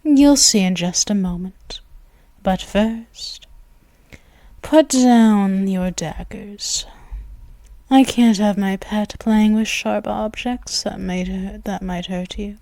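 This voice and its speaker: Woman as a possessive vampire queen, unhurried and purring, half-whispered, dry and close on the mic. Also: mid-range voice, vocal fry, no echo. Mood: commanding. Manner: soft, hushed and drawn-out, often whispering; commanding and purring. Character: dominant predatory female vampire, commanding and possessive. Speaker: ASMR roleplay performer. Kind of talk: ASMR roleplay. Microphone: close mic, intimate.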